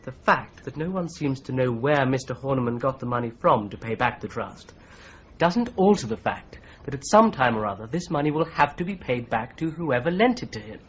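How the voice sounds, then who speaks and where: An actor playing a polite, methodical young law clerk who presses his points in a calm, persistent way, in a radio drama. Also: medium pitch, a little fast, slightly rough. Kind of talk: 1970s radio drama acting. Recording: slightly dull broadcast recording.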